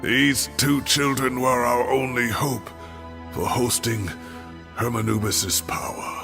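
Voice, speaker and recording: An actor playing a weary, secretive old scholar in a video game, speaking in a grave, measured and dramatic way. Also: male voice, medium pitch, steady tone, vocal fry, over music. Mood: solemn, confessional. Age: older. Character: weary secretive old male scholar, guilt-laden mentor.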